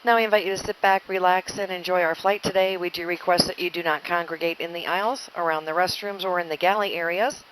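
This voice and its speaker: A woman's voice: on the low side, fairly even intonation, quick pace, few pauses, fairly bright tone. A woman flight attendant giving an inflight announcement over the cabin PA of an airliner. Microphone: cabin PA handset. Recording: noisy airplane cabin PA.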